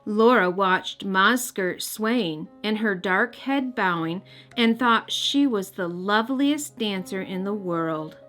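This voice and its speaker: Casting: female storybook narrator, medium register, expressive delivery aimed at children, light music bed. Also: mid-range voice, slow pace. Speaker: host and storybook reader. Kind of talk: children's read-aloud narration.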